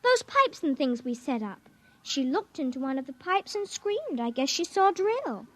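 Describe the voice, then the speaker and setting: An actress playing an eerie, calmly sinister little girl in a 1950s radio drama. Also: sits high, normal speed. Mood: eager. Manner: matter-of-fact, chillingly calm.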